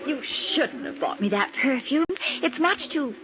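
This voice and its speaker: An actress playing a sassy, coy young blonde who wisecracks, in old-time radio drama style. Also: female voice, high voice, very animated, moderate pace. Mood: coy, teasing. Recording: muffled vintage radio broadcast.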